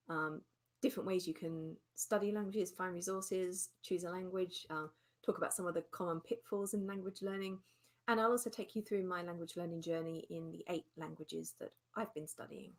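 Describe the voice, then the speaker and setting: Chatty woman vlogger with a lower voice. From England. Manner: chatty, many ums. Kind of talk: casual chat. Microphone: desktop mic with webcam.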